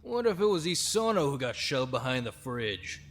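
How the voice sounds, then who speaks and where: A man voicing a casual, speculating young man in an animated murder mystery. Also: medium pitch, moderate pace. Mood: speculative. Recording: faint background noise.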